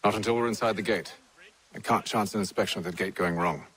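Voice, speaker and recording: A man playing a composed, calculating British commander in a video game, speaking formally with measured authority. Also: on the low side, fairly bright tone, breathy edge. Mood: calm command. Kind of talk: video game dramatic acting. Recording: game audio with music behind.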